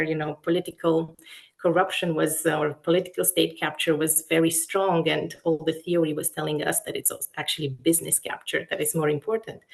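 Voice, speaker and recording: A woman researcher from Romania with a Romanian accent, explaining her work in a webinar talk in a deliberate way, often pausing mid-phrase. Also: on the low side. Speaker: academic researcher, webinar lecturer.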